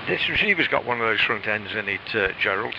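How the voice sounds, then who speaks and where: Low-voiced British man on noisy shortwave radio. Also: expressive, gravelly. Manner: hesitant, rambling, frequent pauses. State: frog in throat, clearing it. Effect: shortwave radio transmission. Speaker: amateur radio operator. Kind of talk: casual technical radio chat. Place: home radio shack. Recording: muffled shortwave radio, loud static noise.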